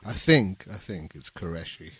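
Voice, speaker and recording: A man's voice: deep voice, lively intonation, normal speed, pushed and bright, husky. A man, chatting casually as a guest, joking dryly at his own expense. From born in Pakistan, from Birmingham, England. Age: 50s. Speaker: studio guest, musician. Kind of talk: casual interview chat.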